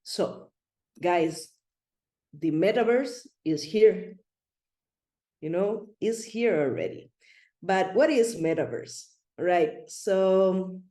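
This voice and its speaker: A woman's voice: mid-range voice, dark tone, echoey room. A middle-aged woman from Rica, giving an online presentation in a friendly, rambling way with many fillers and restarts. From Costa Rica. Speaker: web3 consultant presenter.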